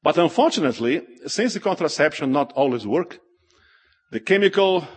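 A middle-aged man whose first language is Portuguese gives a public talk as an animated, joking storyteller. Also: on the high side, normal speed, husky. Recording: long hall reverb.

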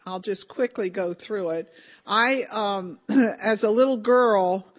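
An older woman speaking at a conference, slightly hoarse with a deep voice for a woman, talking informally with many ums and restarts. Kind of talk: informal talk with prayer.

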